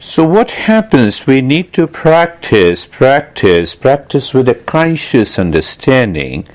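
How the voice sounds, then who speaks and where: A man teaching guided meditation in a deliberate, explanatory manner, repeating key phrases and posing questions as he instructs. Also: medium pitch, big pitch swings.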